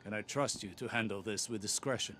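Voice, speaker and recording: A man's voice: on the low side, a little fast, a bit bright, slight background noise, natural voice. Man playing a stern, clipped spymaster mentor. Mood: stern, reproving. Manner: clipped, commanding, scolding. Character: stern, shrewd older mentor, controlling spymaster. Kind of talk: dramatic video game dialogue. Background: music.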